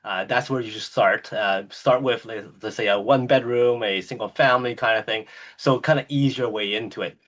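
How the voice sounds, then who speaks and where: A man's voice: mid-range voice, moderate pace, a bit bright, highs cut off. A man hosting an interview podcast, introducing and questioning his guest with eager enthusiasm and plenty of "uh" fillers. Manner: enthusiastic, many "uh" fillers and restarts. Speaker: podcast host.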